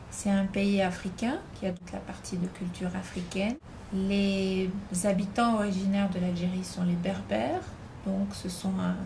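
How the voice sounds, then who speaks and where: A woman's voice: mid-range voice, gravelly. A woman from Algeria answering interview questions, explaining at length and listing examples one after another. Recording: noisy background ambience.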